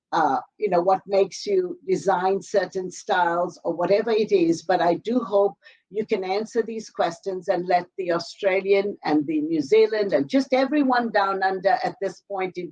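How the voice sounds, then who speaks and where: A woman's voice: mid-range voice, a little mellow, low-quality recording. A woman interviewing a guest for a sewing business, speaking hesitantly with many fillers and restarts over a video call. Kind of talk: scripted interview questions.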